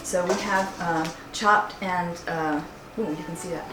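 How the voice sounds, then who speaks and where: A woman's voice: fairly low pitch, fairly even intonation, unhurried, noisy background. A middle-aged American woman talking informally and explaining things as a home cook presenting a cooking demonstration. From United States, partly Hawaii. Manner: conversational, explanatory. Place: indoors, roomy kitchen. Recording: echoey room with music behind.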